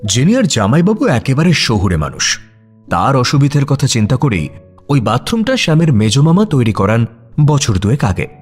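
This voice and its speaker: A man's voice: medium pitch, very animated, normal speed, husky. A man narrating a scripted story in a dramatic storytelling style. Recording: music bed under voice. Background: background music.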